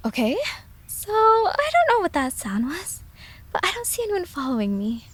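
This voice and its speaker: High, lively young girl adventurer. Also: normal speed. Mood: nervous, chatty. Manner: expressive, youthful, talking into a recorder. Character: young fox-like girl adventurer, earnest, chatty, easily startled. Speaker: voice actor. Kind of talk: audio drama character acting.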